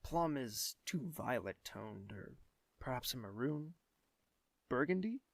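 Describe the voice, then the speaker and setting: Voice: mid-range voice, sing-song, slow pace, creaky, no room sound, exaggerated voice. An actor playing a young prince in an audio drama, refined, distracted and hesitant, musing aloud. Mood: earnest, musing. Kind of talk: scripted audio drama acting.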